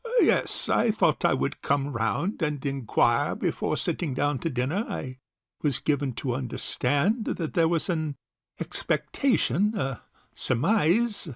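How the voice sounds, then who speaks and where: Courtly old-school bachelor uncle: gravelly, hesitant, long-winded, with animated pitch for comic farce. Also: male voice, measured pace, no echo, clean studio sound, heavily acted. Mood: hesitant, awkward. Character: suave, hesitant rich older bachelor uncle. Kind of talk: stage farce audio drama acting.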